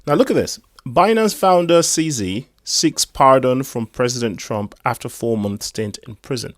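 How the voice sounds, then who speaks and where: A hoarse man giving rambling, casual commentary on crypto news. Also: mid-range voice, expressive, normal speed, no room sound, clean studio sound. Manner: rambling, chatty asides.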